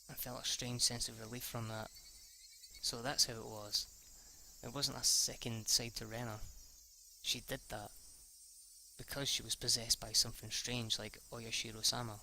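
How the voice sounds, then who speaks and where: A man reading visual novel narration aloud for a let's play, a bit halting, with sweary asides. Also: medium pitch, vocal fry, sharp tone, a little hiss or hum, low-quality recording. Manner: halting, stumbles over words, sweary asides.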